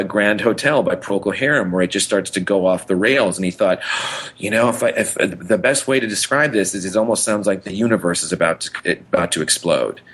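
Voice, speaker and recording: A man's voice: lowish voice, fairly bright tone. A man co-hosting a podcast in casual chat, rambling enthusiastically and often correcting himself mid-thought. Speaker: podcast co-host.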